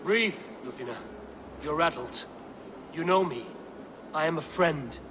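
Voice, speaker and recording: Steady Viking warrior amid noisy ambience. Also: male voice, a little high, unhurried. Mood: reassuring. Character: steady, reassuring Viking warrior, male, watchful and honourable. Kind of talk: video game dramatic acting. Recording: loud game ambience behind voice.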